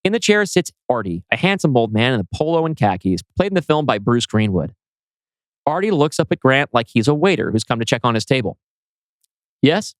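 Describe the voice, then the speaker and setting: A man's voice: mid-range voice, sing-song, a little fast, no echo. A man reading scripted screenplay narration as writer and narrator.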